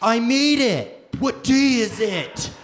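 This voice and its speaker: A man's voice: extremely high pitch, expressive, slow, heavy background noise, full character voice. A man playing an earnest, dramatic son from the future in a comedic live radio play, theatrical and prone to over-explaining. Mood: dramatic, breathless.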